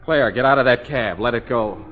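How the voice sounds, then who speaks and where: A man's voice: mid-range voice, moderate pace, close to an everyday voice. An actor playing a hapless, anxious man sinking into money trouble, with rueful asides, in 1940s radio comedy-drama style. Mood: urgent, frantic. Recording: muffled vintage radio recording.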